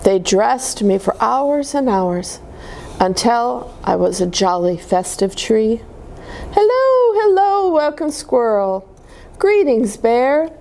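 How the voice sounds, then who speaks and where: A woman voicing a small, gentle young tree in a children's storybook, telling its life in wistful, halting phrases. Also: fairly high pitch, sing-song, a little hiss or hum, full character voice. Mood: jolly.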